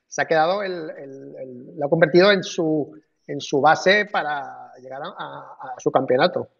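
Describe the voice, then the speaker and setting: A man's voice: a little high, normal speed, gravelly, slightly rough. A man on a video call arguing his point insistently in a live debate. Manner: insistent, argumentative. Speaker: panelist. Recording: dull video call audio.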